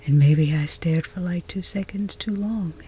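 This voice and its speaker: A woman playing a flustered, lovestruck chess rival in a romance roleplay ASMR, whispery and intimate, with halting, teasing delivery. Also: fairly low pitch, a little mellow. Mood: confessing, flustered. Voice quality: breathy, whispery.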